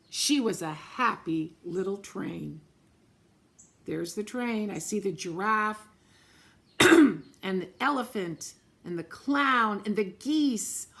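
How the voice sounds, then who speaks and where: A woman, a teacher, reading a picture book aloud at storytime, gently pointing out the pictures and often clearing her throat. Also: medium pitch. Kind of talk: storytime read-aloud to children.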